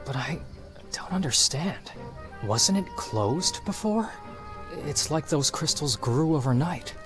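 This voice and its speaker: A man's voice: fairly high pitch, lively intonation, slow pace, music in the background. A man playing a wary, brusque deserter in a fantasy video game, with a casual, blunt delivery. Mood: puzzled, irritated. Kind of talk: English video game cutscene acting.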